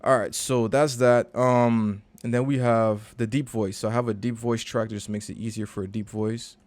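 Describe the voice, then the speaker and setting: A man, a recording and mixing engineer, casually walking through a session in a relaxed, slangy, conversational way. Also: mid-range voice, moderate pace. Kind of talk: casual screen-share walkthrough.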